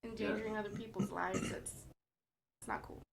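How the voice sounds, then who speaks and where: A woman in her forties, a singer guest, chatting in a lively way and laughing as she tells stories. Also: mid-range voice, fairly quick, vocal fry, background noise. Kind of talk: casual chat.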